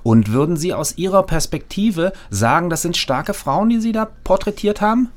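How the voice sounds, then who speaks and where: A man's voice: high-pitched, animated, moderate pace. Hesitant male interviewer. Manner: hesitant, long winding questions with many fillers. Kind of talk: interview questions. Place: studio, seated at a mic.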